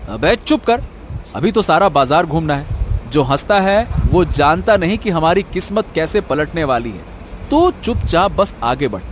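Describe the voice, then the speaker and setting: Bossy cartoon village dreamer, high voice swooping all over, theatrical and wheedling. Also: male voice, sing-song, normal speed, a little hiss or hum. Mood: impatient. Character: bossy, scheming, foolishly hopeful village man, donkey's owner. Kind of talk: comic animated story dialogue.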